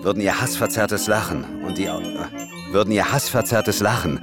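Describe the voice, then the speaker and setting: Low-voiced actor as horror thriller hero, narrating. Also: male voice, moderate pace, close to an everyday voice. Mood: grave, suspenseful. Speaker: audio drama narrator. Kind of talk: dramatic scripted audio drama narration. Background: loud music.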